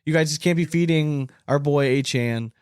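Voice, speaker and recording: A man's voice: on the high side, normal speed, clean tone, dry recording, studio quality. A man hosting a casual sports podcast, talking in a blunt, profane way. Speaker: podcast host.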